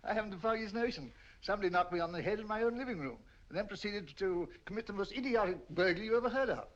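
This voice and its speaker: Brief: affable British collector, lively higher voice, chuckly, muffled vintage sound with faint hiss. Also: male voice, racing. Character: affable middle-aged male collector. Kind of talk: 1940s film acting. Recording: dull old film soundtrack.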